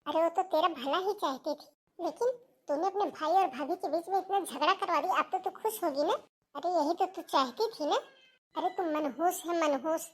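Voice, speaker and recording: A woman's voice: very high-pitched, moderate pace. A woman playing a scheming, scornful older mother who scolds and taunts in a Hindi cartoon moral story. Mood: scornful scolding.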